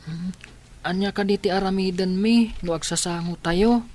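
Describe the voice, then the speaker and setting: An actress playing a worried, questioning village child in an Ilocano radio drama, with an Ilocano accent. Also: female voice, mid-range voice, moderate pace, darkish tone, close to an everyday voice.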